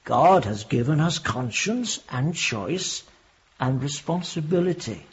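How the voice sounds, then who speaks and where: A guilt-laden reverend in a radio drama. His voice is very husky and breathy, with a solemn, pastoral delivery, recorded a little dull. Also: a little high, animated, takes it slowly, exaggerated voice. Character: troubled, guilt-laden clergyman, solemn and gentle. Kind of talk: broadcast radio drama acting.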